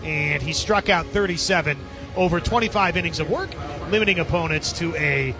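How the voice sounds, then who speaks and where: A man calling baseball play-by-play as live radio sports commentary, with a husky edge to his voice. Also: a little high, moderate pace, music in the background.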